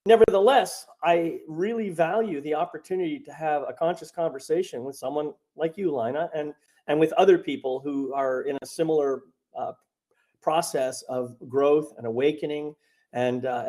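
A man's voice: on the high side, normal speed. Rambling man hosting a call. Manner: warm, rambling, with many fillers and restarts. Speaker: podcast host and coach. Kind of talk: casual spiritual podcast chat.

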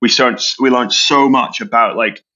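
A Scottish man, a brand co-founder, talking quickly in a casual business interview and often repeating words as he restarts. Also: medium pitch, steady tone, pushed and bright, dull audio. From Scotland.

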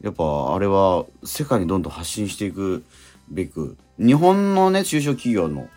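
A man co-hosting a radio show, talking casually in halting, reflective phrases full of interjections. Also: deep voice, very animated, warm tone. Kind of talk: casual conversational radio talk. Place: radio studio. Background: music playing behind the voice.

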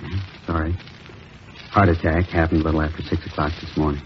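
An actor playing a somber, sympathetic middle-aged police captain with terse, halting lines in a 1950s radio drama. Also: male voice, flat delivery. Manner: terse, halting, low-key. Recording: muffled, noisy old radio recording.

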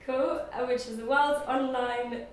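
A woman's voice: high voice, steady tone, measured pace, slight background noise. A woman hosting a casual podcast interview, bubbly and chatty, laughing often. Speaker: podcast host. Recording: very echoey room.

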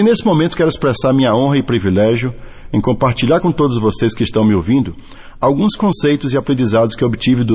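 A middle-aged Brazilian man with a Brazilian Portuguese accent, reading a motivational testimony in a deliberate, earnest way. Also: mid-range voice, darkish tone, breathy edge. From Brazil (Bahia). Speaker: network marketing distributor. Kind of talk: scripted motivational testimony and read-out translation. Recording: long hall reverb.

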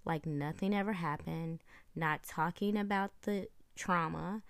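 A woman podcast host speaking in a deliberate, reassuring monologue of faith-based encouragement, with emphatic delivery. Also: lowish voice, fairly even intonation, dry recording, clean studio sound.

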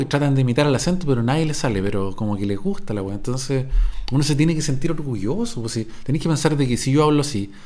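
A middle-aged man with a strong Chilean Spanish accent talks off the cuff on his podcast. He wanders from story to story, dropping slang and curses in a loose, chatty way. His voice sits at an ordinary middle pitch for a man. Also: darkish tone. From Chile, Viña del Mar. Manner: rambling, digressive, heavy slang and swearing. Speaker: podcast host. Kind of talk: improvised casual monologue.

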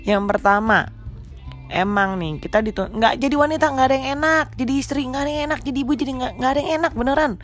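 A woman's voice: high voice, fast talker, faint music behind. A young woman with a stuffy, congested nose, chatting casually and teasingly to mothers as a podcast host. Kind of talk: casual chat to mothers, mixing in English. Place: small rented room.

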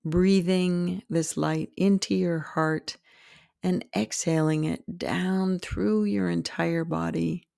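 A woman leads a guided meditation in a slow, soothing delivery. She speaks in short, deliberate phrases with pauses between them, and her voice has a gravelly creak. The recording is close and dry, with very little room sound. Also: on the low side, darkish tone, no echo. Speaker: podcast host and meditation guide.

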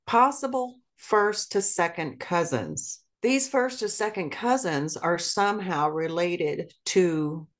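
A middle-aged woman talks in a calm, earnest way, careful with every detail. Her voice sits a little low for a woman. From South Korea. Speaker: podcast guest. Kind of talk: conversational interview.

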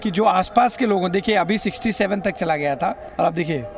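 A man's voice: sits high, fairly quick. A man reporting news on location, explaining and pointing things out directly to viewers. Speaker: television news field reporter. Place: outdoors on city streets. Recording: noisy outdoor urban location with traffic.

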